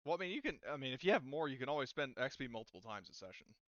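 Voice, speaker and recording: A man running a tabletop roleplaying session as game master, explaining things casually and haltingly. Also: medium pitch, very animated, fairly bright tone, no echo, highs cut off.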